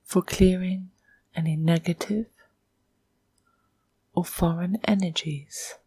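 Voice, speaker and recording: A woman leads a guided meditation in a lowish, extremely breathy voice. Her delivery is very slow and hushed, often close to a whisper. She sets out short, measured phrases with long pauses between them. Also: fairly even intonation.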